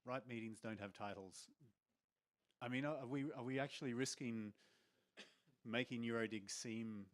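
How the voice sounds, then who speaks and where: A man's voice: mid-range voice, fairly even intonation, moderate pace, long pauses. A man in a planning meeting pressing an objection insistently, often restarting his sentences. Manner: insistent, stumbling over false starts. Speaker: meeting participant. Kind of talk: debating in a meeting.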